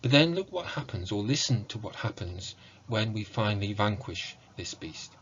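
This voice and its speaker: A man giving an academic lecture in a measured, explanatory way, leaning on key words. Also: lowish voice, big pitch swings, highs cut off, noisy background. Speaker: university lecturer. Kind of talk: academic lecture with slides on video game music.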